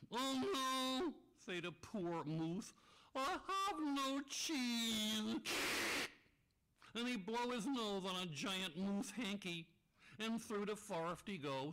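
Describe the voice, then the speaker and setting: A goofy, chatty moose puppet spins a silly tale for kids, as a man puts him on. His voice sits a bit high and leaps around a lot. He mangles grammar in a folksy way and acts out every character. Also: a bit bright, heavily acted, low-quality recording. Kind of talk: telling a silly children's tale.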